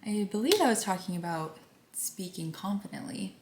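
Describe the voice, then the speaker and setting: A young woman with a soft, gentle voice, chatting casually through a makeup routine, rambling and sometimes whispering. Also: mid-range voice, expressive, moderate pace. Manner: rambling, drops into whispers at times. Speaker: beauty vlogger. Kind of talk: soft chatty get-ready-with-me vlog. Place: home. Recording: echoey room with faint background noise.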